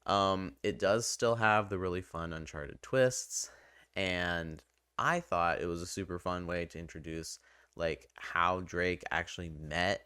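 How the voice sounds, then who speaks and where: A man with a very low, smooth voice talks through a game review like a video host. He is conversational and rambling, clearly keen on his subject. The sound is dry and close, with clean, resonant delivery. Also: unhurried, sharp tone, no echo. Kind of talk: casual, loosely scripted game review commentary.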